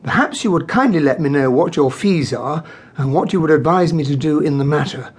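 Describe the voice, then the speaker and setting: An actor playing a genial, impatient English gentleman sidekick and narrator in a radio drama, with a British accent and a husky voice. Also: a little high, fairly quick. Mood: puzzled, impatient.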